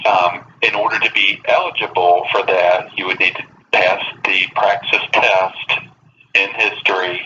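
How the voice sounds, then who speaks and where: A man speaking as a human resources official on a phone call, halting and careful, with polite phrasing. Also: low voice, steady tone, bright, forceful tone. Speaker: school human resources director. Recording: distant, dull, noisy phone line.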